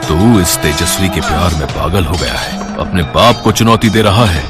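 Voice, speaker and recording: आदमी की आवाज़ में गहरी आवाज़ वाला खूंखार दुष्ट तांत्रिक प्रेत. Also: male voice, sits low, normal speed, loud music behind the voice, close to an everyday voice. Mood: threatening. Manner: taunting, domineering threats. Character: evil male sorcerer spirit, menacing, vengeful father. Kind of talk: dramatic Hindi storybook voice acting.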